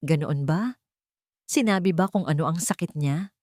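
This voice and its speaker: A Filipino-accented woman playing a gentle older mother-in-law, caring and worried, in a narrated story. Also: sits low, normal speed, no room sound, clean studio sound. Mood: gentle concern. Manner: soft, caring questioning.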